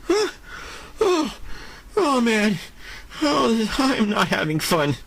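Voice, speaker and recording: A man's voice: very high voice, sing-song, slow pace, gravelly, a bit bright, voice pushed up, full character voice. A man playing a flustered, startled superhero in an amateur audio drama, stammering, exclaiming and repeating himself in protest. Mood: panicked.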